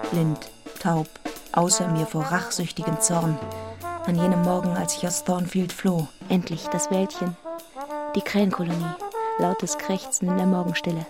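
Radio play narrator female actor: first-person heroine, lower register, measured dramatic reading, clear trombone music underneath. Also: level tone, lightly acted. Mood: tense anticipation. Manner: measured, intense, in clipped literary phrases. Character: reflective heroine narrating her own story. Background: brass music with trombone.

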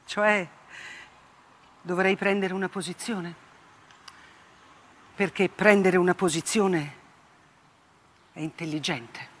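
An older actress playing a haunted, bitterly ironic woman journalist in a theatrical radio monologue, speaking deliberately and emphatically. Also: fairly low pitch, steady tone. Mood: bitter sarcasm. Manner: emphatic, deliberate delivery with rhetorical repetition. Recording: music bed behind the voice. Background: plucked guitar-like music.

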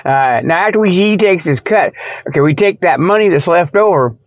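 A man with a slightly high voice rambles through a comedic radio monologue in a dry, close sound. He is folksy and digressive, stammering and chuckling at his own jokes. The recording is dull and lo-fi. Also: lively intonation, moderate pace, dry recording. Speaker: radio show host.

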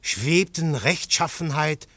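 Ein Schauspieler spielt in einem Hörspiel einen leidenschaftlichen Detektiv, der mal drängend ruft, mal leise grübelt. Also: male voice, a little high, takes it slowly, no room sound. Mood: brooding. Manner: fervent, declamatory, shifting to hushed musing. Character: intense male detective, brooding philosopher. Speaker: detective narrator. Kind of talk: radio play acting.

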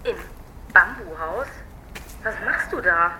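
An actress playing a brisk, businesslike female police officer on the phone in a radio crime drama. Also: on the low side, animated, a crowd in the background, low-quality recording.

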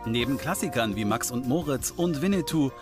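A man's voice: mid-range voice, lively intonation, normal speed. A man reading a scripted documentary voice-over as a reporter. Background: music.

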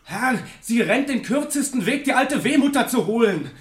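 An actor playing a flustered, obedient household manservant in a period radio play, calling out excitedly in an echoey room. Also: male voice, very high-pitched, moderate pace.

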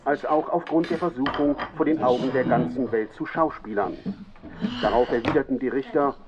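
An actor playing a sober radio news reporter, reading formal documentary narration. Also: male voice, medium pitch, moderate pace, highs cut off, over a noisy crowd, close to an everyday voice. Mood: matter-of-fact, formal.

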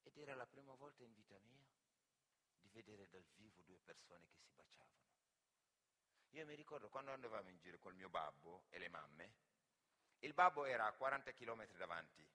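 Animated storyteller, bright forceful male voice. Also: on the low side, fairly quick. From Senegal. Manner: animated, acts out dialogue, addresses the audience. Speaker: actor and griot storyteller. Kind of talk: theatrical autobiographical monologue.

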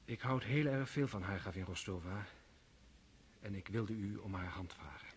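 A man's voice: fairly low pitch, moderate pace, slight background noise, close to an everyday voice. An actor playing an earnest, formal young nobleman asking for a girl's hand in a radio play.

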